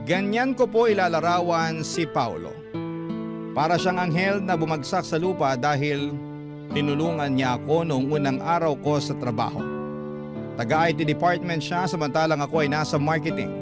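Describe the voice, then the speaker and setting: A man's voice: fairly high pitch, normal speed. Earnest male letter narrator over music. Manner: earnest storytelling, addresses the host. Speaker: letter reader. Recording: music bed under voice.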